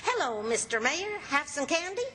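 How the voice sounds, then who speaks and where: A woman's voice: high voice, very animated, normal speed, a bit bright, slight background noise, rough recording. A woman playing a patient, teasing housewife with a wry streak in an old-time radio comedy. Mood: wry, peacemaking.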